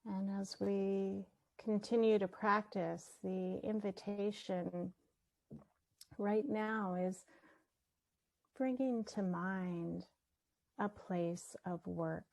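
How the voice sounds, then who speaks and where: A woman leading a guided meditation, speaking gently and leaving long pauses between her phrases. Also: medium pitch, steady tone, gravelly, low-quality recording. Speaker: meditation teacher.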